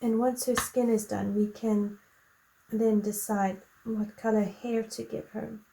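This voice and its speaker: A woman hosting a colored-pencil tutorial in a relaxed, chatty way, her voice croaky from a lingering cough. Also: mid-range voice, low-quality recording. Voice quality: slow, soft-spoken and gentle.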